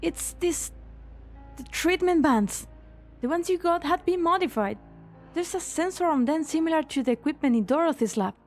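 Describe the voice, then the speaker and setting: A woman playing an earnest, anxious young lab researcher with a hesitant, expressive delivery in an English fan dub. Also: extremely high pitch, normal speed, soft background music. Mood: urgent.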